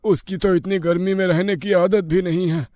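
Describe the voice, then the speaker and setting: A man voicing an anxious, excitable village brother-in-law in a cartoon story, flustered and urgent. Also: high voice, normal speed, dry recording, natural voice. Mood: alarmed. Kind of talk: cartoon moral story acting.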